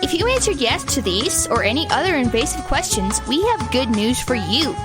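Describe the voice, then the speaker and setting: Casting: female ad announcer, high voice, very lively tongue-in-cheek delivery over music. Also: normal speed. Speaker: commercial announcer. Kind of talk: scripted tongue-in-cheek ad read. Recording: music bed behind the voice.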